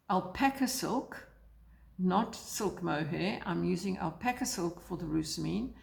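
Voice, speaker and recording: A lowish, gravelly woman's voice, croaky and a bit hoarse, rambling through her knitting projects with plenty of ums and restarts. She sounds relaxed and unscripted, recorded in a roomy lounge with a clear echo. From South Africa. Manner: rambling, hesitant, many fillers. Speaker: knitting vlogger. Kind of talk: casual chat to camera. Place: lounge by a fireplace, indoors. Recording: echoey room.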